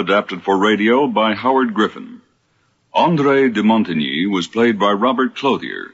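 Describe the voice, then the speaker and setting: A man's voice: fairly low pitch, slightly rough. A man working as a radio announcer, formally reading out programme credits. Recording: dull radio recording.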